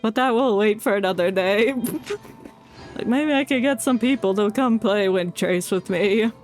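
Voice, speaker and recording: A game streamer with a mid-range, androgynous voice talks through live play in a casual, chatty way. The delivery is playful and excitable, often muttered as if to themselves, with game music running behind it. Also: mid-range voice, normal speed. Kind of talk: casual live gaming commentary.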